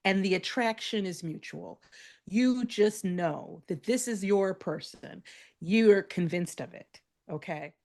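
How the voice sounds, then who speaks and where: A woman co-hosting a comedy show, telling stories in an animated way and building to punchlines. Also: mid-range voice, takes it slowly. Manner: animated storyteller, builds to punchlines, laughs often. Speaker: comedy podcast co-host. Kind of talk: storytelling comedy banter.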